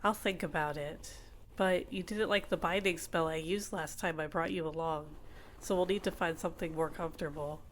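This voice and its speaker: A woman playing a doting, possessive villain in an ASMR roleplay, speaking in a gentle, coaxing, soothing way. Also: low voice, fairly even intonation, normal speed, a little hiss or hum, natural voice. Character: doting, possessive female villain caring for a captive. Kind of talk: ASMR roleplay, personal attention.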